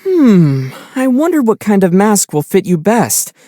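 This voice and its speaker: Mellow, calm young adventurer. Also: male voice, high-pitched, no echo, clean studio sound. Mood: thoughtful. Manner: calm, gently teasing, measured. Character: calm, kindly teasing young male traveler hero. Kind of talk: video game character dialogue.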